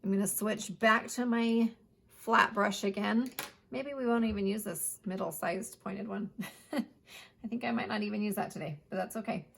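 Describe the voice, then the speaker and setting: A woman art teacher giving a chatty step-by-step painting lesson, her voice hoarse. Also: mid-range voice, moderate pace. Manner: chatty, plain instructional talk.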